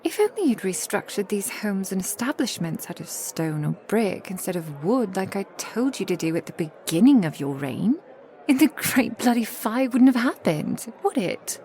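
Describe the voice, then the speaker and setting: A woman voicing an affectionate, protective ancient vampire woman in a soft, intimate ASMR roleplay, with genteel old-fashioned phrasing. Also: mid-range voice, very animated, moderate pace, lightly acted. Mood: wry, reminiscing.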